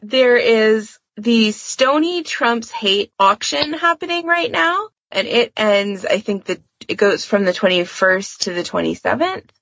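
A woman's voice: fairly high pitch, expressive, a little slow, hardly any pauses, a bit bright, no room sound, muffled recording. A woman co-hosting a fan podcast, chatting in an informal, easygoing way. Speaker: podcast host. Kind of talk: casual fandom podcast chat.

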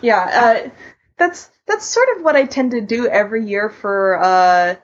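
A woman with a medium-pitched voice chats informally as one of a podcast panel. The recording sounds a little dull and lo-fi. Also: sing-song, normal speed. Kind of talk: casual chat.

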